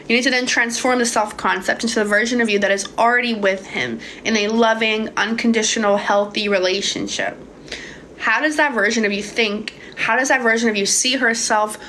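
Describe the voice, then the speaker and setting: A woman's voice: on the high side, fairly bright tone, slight background noise. A young woman from Hungary answering viewers' questions about manifesting in a casual, swearing livestream chat. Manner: casual, swears freely, calls viewers babe. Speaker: manifestation coach. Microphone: phone.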